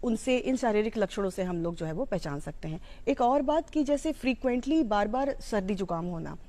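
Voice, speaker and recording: Indian woman doing an informal educational talk, relaxed mellow voice, average pitch, mixes in english words. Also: fairly quick. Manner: explanatory, code-mixing Hindi with English terms. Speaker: psychology educator. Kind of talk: informal explanatory talk on mental health.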